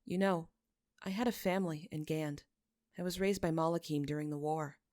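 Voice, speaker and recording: A woman voicing a gentle young male shepherd in a soft, earnest, consoling manner. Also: low-pitched, moderate pace, no room sound, clean studio sound, lightly acted. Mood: soft, solemn.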